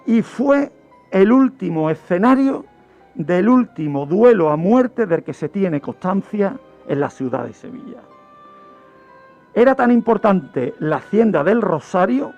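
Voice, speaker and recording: A man's voice: high-pitched, darkish tone, muffled recording. A man explaining a true-crime history on radio in a didactic way, posing questions and answering them. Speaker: guest criminologist presenting a true-crime segment.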